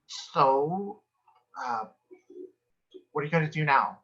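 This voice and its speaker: A man's voice: fairly high pitch, lively intonation, slow, fairly bright tone. A man playing a curious, easily awed office coworker in a sitcom table read. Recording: dull lo-fi video call.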